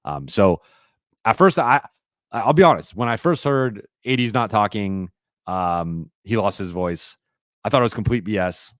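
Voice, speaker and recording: A man hosting a live-stream Q&A, talking casually and rambling with lots of fillers and restarts, his voice a little raspy. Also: on the low side, expressive, a little slow, a bit bright, no echo, clean studio sound. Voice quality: slightly raspy voice.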